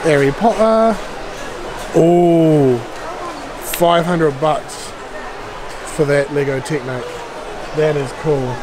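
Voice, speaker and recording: A middle-aged man, talking casually through a rambling shopping vlog while holding the camera. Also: on the high side. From Denmark. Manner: rambling, chatty price commentary. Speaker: vlogger. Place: large busy warehouse store. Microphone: handheld camera at arm's length. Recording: loud store background noise. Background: loud store crowd noise.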